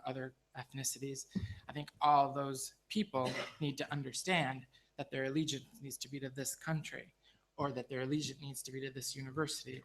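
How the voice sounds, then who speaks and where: A man's voice: medium pitch, a bit bright, a little hiss or hum, poor audio. A man speaking on a panel, earnest and repetitive, with many fillers and restarts. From United States. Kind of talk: panel discussion remarks.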